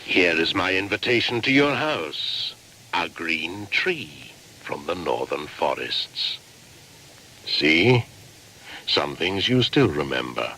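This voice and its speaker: Radio play: age-old midwinter shaman spirit, solemn menace, bottomless pitch, slow chanting delivery with whispers. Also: sing-song, slight background noise, exaggerated voice. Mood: solemn, beckoning. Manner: slow, incantatory, breaking into hushed whispers. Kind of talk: dramatic radio play monologue.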